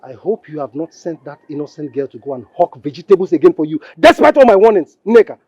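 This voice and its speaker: An actor playing a stern, protective husband with a Nigerian accent, reproachful and exasperated, repeating himself for emphasis in film drama style. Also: male voice, fairly high pitch, animated, fast, a little mellow, no echo, muffled recording. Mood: accusing. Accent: Nigerian English. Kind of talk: Nollywood film drama acting.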